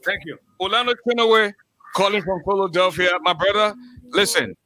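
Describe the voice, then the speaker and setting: A call-in radio host in his fifties with a high, strikingly bright voice. He chats loosely with callers, repeating phrases and restarting sentences. His tone is forceful and tense. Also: a little fast, no room sound, soft background music, low-quality recording. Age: 50s. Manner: chatty, repeats himself, stumbles into restarts. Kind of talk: live call-in radio chat.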